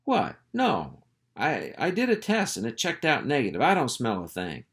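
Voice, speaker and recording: A man's voice: medium pitch, wildly swooping pitch, moderate pace, a bit bright, voice pushed up. A man voicing a boastful, oblivious ranch dog in a storybook, with a folksy, self-important, blustering manner. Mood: defensive.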